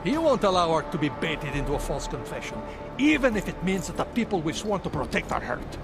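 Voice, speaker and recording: A man's voice: on the high side, big pitch swings, brisk, music in the background. An actor playing an earnest, loyal augmented man who guards a rebel group's door in a video game cutscene. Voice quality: earnest, admiring, reflective.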